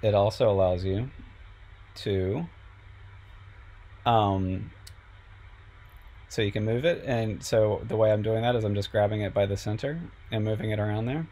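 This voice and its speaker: A man teaching a software class over a screen recording, a little sick and losing his voice, explaining step by step with frequent ums. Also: fairly low pitch, measured pace, pauses often. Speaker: instructor.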